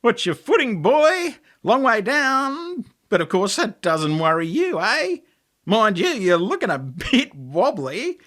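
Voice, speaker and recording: A menacing Australian tempter from an audiobook tale, acted out by a male narrator. He taunts in a mocking, slangy way, with the voice leaping up high. His delivery swings widely as he needles his target. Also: big pitch swings, takes it slowly, fairly bright tone, heavily acted. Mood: mocking, goading. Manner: mocking, goading, slangy, pitch leaping upward.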